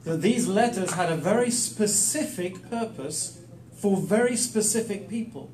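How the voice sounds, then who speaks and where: A man preaching in short, emphatic, plain-spoken phrases, pausing for an interpreter. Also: high voice, animated, a little mellow. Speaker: guest preacher teaching the Bible. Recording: echoey room, distant mic, faint noise.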